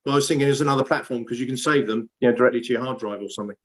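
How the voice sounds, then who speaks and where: Relaxed man running an online meeting, loose rambling delivery, mid pitch. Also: very fast. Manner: easygoing, laughs often, rambling. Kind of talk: video call chat.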